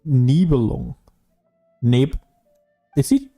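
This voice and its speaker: A man's voice: medium pitch, animated, drawn-out words, gravelly, warm tone, faint music behind. A man streaming a game with casual live commentary in short, offhand remarks.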